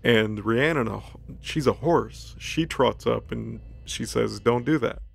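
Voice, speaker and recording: A man's voice: mid-range voice, takes it slowly, vocal fry, breathy edge. A man giving a casual, comedic plot recap in flippant slang, acting out dialogue offhandedly. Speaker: host recapping a fictional story. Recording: music bed under the voice. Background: background music.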